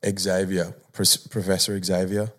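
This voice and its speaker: A man's voice: low voice, little pitch movement, a bit bright. A man co-hosting a podcast, joking and riffing in casual banter with frequent laughs. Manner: joking, laughs a lot, riffs quickly. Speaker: podcast co-host.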